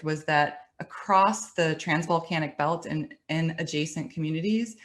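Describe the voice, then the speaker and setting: A woman's voice: sits low, fairly bright tone. A middle-aged woman giving a webinar talk, conversational and storytelling, with some restarts and light laughs. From United States. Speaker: webinar speaker. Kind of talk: presentation talk. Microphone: webinar computer mic.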